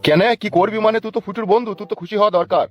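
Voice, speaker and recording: Dull lo-fi sound, man acting a familiar older cartoon uncle, raised male pitch, chatty. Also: very fast, soft background music. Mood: curious. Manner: familiar, inquisitive.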